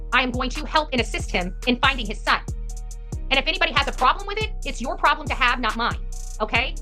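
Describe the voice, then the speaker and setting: A woman's voice: sits high, bright, forceful tone. A woman hosting an online true-crime channel, delivering an impassioned, combative rant with emphatic repetition.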